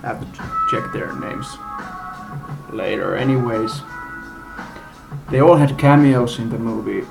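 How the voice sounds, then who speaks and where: Male amateur reviewer, gravelly and unhurried, halting and blunt, unscripted; radio music audible behind him. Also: mid-range voice, poor audio. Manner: halting, rambling delivery. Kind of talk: unscripted casual rant review. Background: music from a live radio.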